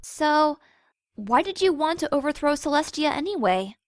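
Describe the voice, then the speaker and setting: A woman voicing a sensible, wry young female pony in a cartoon fan fiction, gently scolding and matter-of-fact. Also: high voice, sing-song, normal speed, no echo, studio quality. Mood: teasing.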